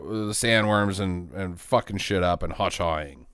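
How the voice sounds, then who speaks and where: A man in casual, profane conversation, recapping a movie with friends and acting out characters in quick jokey asides. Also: low-pitched, fairly bright tone, smooth, no echo, clean studio sound.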